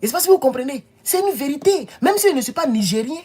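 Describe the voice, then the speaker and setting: A man's voice: very high voice, lively intonation, quick pace, a little mellow. A man from the Ivory Coast, giving emphatic political commentary in a home video and often repeating himself. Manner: emphatic, repeats himself, rises to insistent peaks.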